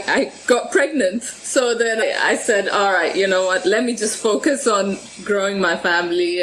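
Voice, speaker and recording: A woman from Ethiopia talks easily as a podcast guest, her voice sitting at an ordinary middle pitch. She laughs often and stumbles over word starts. A faint hiss sits beneath her speech. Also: low-quality recording. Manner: warm, laughs often, restarts words. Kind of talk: conversational interview.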